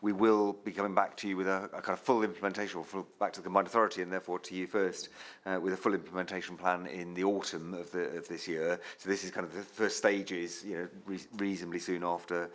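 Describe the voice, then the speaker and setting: Fast, flat-voiced man presenting at a meeting. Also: low-pitched. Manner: hesitant, many fillers and restarts. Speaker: council officer presenting a strategy.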